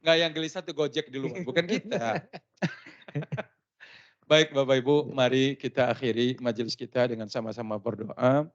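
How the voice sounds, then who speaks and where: A male preacher from Lampung, Indonesia, giving a religious talk with lively anecdotes and frequent laughter. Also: medium pitch, normal speed. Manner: lively storytelling, laughs often. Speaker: Islamic preacher. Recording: dull lo-fi sound.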